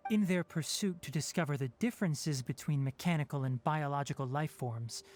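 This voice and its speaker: A man voicing a calm, principled young male forest ranger and scholar in a video game, speaking in a measured, thoughtful way. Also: fairly high pitch.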